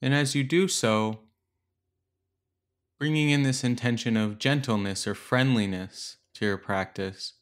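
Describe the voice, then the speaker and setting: A man leading a guided meditation, giving gentle, unhurried instructions with long pauses between phrases. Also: medium pitch.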